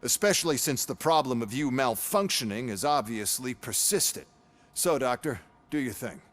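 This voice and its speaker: Guy playing a smug company boss in a game cutscene, mid-pitched, sarcastic, bossy. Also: lively intonation, moderate pace, a bit bright, close to an everyday voice. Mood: smug, insistent. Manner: sarcastic, condescending, foul-mouthed. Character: cynical, bossy male corporate overseer, smug and threatening. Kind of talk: video game cutscene acting.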